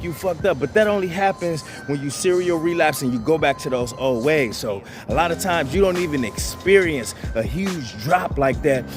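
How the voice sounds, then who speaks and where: A man giving blunt, profane motivational advice straight to his viewers, repeating himself and calling them "bro". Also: on the high side. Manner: blunt, profane, repetitive, calls listeners "bro". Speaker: channel host giving advice.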